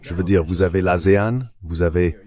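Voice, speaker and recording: Deep-voiced man, French voice-over. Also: little pitch movement, moderate pace, a little mellow. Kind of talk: French voice-over translation of a spoken commentary.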